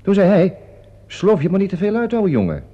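An actor in a Dutch radio play as a wry, fussy veteran stage actor with a theatrical, drawling, sardonic manner. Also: male voice, sits high, wildly swooping pitch, fairly quick, exaggerated voice. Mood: indignant, confiding. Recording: dull lo-fi radio drama recording.

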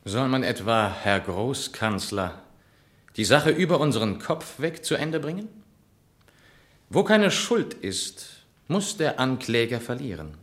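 A man's voice: medium pitch, sing-song, measured pace. An actor playing an arrogant, scheming nobleman in a German radio drama, speaking in a rhetorical, emphatic way. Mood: haughty, triumphant. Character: arrogant, scheming young nobleman defending his kin.

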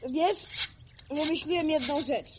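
A woman's voice: sits high, measured pace, background noise. A woman playing an eager, bookish village farm boy in a radio drama, with rustic colloquial speech. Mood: weary, wistful. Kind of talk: naturalistic Polish radio drama acting.

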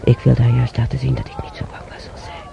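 An actor playing an elderly, weary man in a radio play, musing to himself haltingly with sighs and mutters in a gravelly voice. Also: medium pitch. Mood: wistful, weary. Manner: halting, muttering, drifting into whispers. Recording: dull recording with faint noise.

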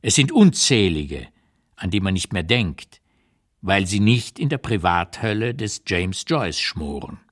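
A man playing an old émigré writer who recalls wartime years in a radio reading, with deliberate, emphatic, literary phrasing and an unsparing eye. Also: sits low, big pitch swings, heavily acted. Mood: wry, observant. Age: older. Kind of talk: dramatised literary memoir reading, radio play.

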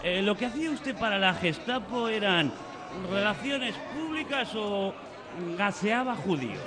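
An actor playing a drunken, provocative film director in a radio drama, with a Castilian Spanish accent. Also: male voice, sits high, very animated, a little slow. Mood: drunken needling. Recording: party crowd behind.

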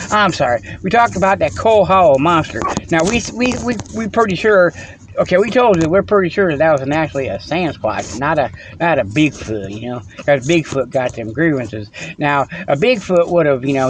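A man's voice: high-pitched, slight background noise, low-quality recording. A man hosting a rambling comic monologue on a phone, chuckling often and full of fillers and restarts, playful and teasing. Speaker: host.

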